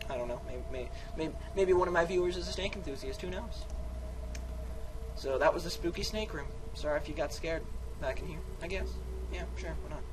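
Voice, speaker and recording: A man's voice: on the high side, fairly quick, noisy background. A man doing a playful dramatic reading of a text game for viewers, rambling and interrupting himself with goofy, theatrical asides. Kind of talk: playful dramatic reading with sung bits and ad-libbed commentary. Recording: music behind the voice. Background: music.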